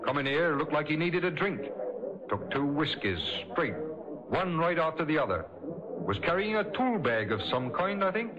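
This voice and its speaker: An actor playing a confident tavern landlord in a vintage radio drama, talking in clipped colloquial phrases. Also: male voice, on the high side, sing-song, moderate pace, noisy background. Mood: sure, helpful. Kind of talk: 1950s radio drama acting. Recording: muffled vintage radio, crowd behind.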